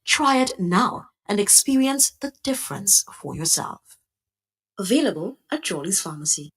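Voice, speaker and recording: Woman, radio ad announcer, breathy voice, upbeat pitch. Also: very animated, normal speed, fairly bright tone. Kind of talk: radio ad read.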